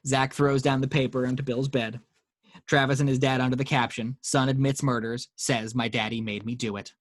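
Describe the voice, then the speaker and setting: A man's voice: mid-range voice, level tone, normal speed, no room sound. A man reading action descriptions as narrator in a dramatic screenplay table read. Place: at home.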